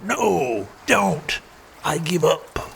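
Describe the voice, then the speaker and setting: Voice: medium pitch, animated, extremely slow, creaky, slightly rough, heavily acted. An actor playing a beaten pirate lackey pleading in an audio drama. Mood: pleading, pained. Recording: loud ambient noise, clicks.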